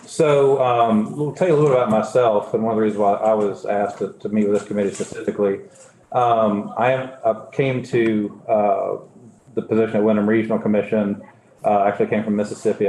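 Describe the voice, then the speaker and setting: Mellow-voiced man presenting to a committee. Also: on the low side. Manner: relaxed, halting, many fillers and restarts. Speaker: regional commission director testifying. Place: legislative committee hearing. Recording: roomy hearing-room audio with faint hiss.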